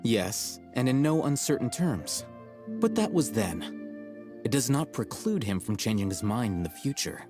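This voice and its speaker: A man playing a cool, calculating, self-assured young scholar in a video game, speaking in a measured, formal, deadpan way. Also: mid-range voice, music in the background. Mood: cool, firm.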